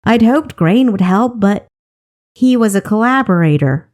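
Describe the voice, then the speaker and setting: A woman's voice: medium pitch, expressive, unhurried, darkish tone, voice pushed up, lightly acted. A woman voicing a weary, defiant female sailor with an exasperated, sarcastic manner in an audiobook. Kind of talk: audiobook character voice.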